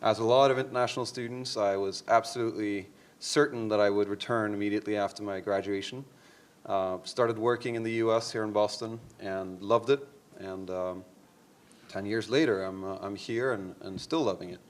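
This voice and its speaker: A man's voice: on the low side, fairly even intonation, normal speed. Upbeat Norwegian-born man on a panel. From Norway. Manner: upbeat, hesitant with many fillers. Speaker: startup CEO panelist. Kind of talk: conference panel introduction. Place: conference room.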